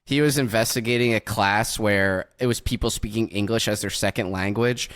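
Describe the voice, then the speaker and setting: A man's voice: fairly low pitch, moderate pace, a bit bright. A man hosting a casual group podcast, talking in a teasing, jokey way. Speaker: podcast host.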